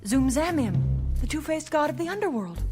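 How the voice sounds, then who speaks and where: Radio play: serious, reassuring woman scientist, slightly high pitch, faint music behind. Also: sing-song, brisk. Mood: curious, serious. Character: earnest, soothing female techno-anthropologist.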